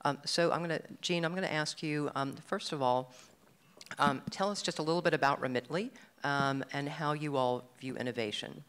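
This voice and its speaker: A man moderating a conference panel, measured, with frequent ums. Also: on the high side, a bit bright, clean tone.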